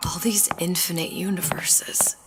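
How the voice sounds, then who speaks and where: Game role: brooding young woman, guilt-ridden yet resolute, low voice near a whisper, woman actor, heavy music bed. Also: fairly even intonation, measured pace, natural voice. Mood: bitter, resigned. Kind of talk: dramatic video game acting.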